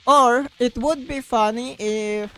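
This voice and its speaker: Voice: mid-range voice, takes it slowly, a bit bright, soft background music. A streamer chatting casually on a livestream in a rambling way, often repeating phrases.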